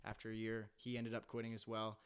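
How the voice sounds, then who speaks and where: A man's voice: fairly low pitch, monotone, brisk, clear, resonant tone. A young man from Canada, a band member, telling his band's story at length in a casual podcast chat, full of "uh" fillers. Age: young adult. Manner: rambling storyteller, lots of "uh" fillers. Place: home basement.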